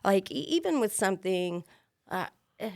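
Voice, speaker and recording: A woman's voice: mid-range voice, big pitch swings, moderate pace, darkish tone, dry recording, studio quality. A woman in her fifties, a teacher, speaking frankly and plainly as a guest in a casual interview. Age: 50s.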